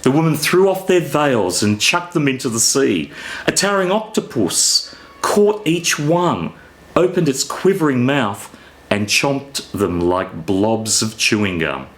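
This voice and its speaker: A man reading his own fiction aloud as an author, in a theatrical, deliberate storytelling manner. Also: medium pitch, animated. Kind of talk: expressive scripted story reading.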